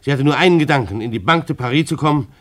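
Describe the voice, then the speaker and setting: An actor playing a nervous, dutiful German bailiff who gets agitated and stammers, in a radio drama. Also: male voice, mid-range voice, animated, rapid-fire, lightly acted. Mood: recounting, insistent.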